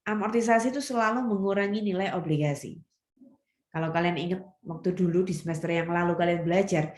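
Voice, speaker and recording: A woman lecturing students step by step, stressing key terms and answering her own questions. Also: medium pitch. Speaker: accounting lecturer.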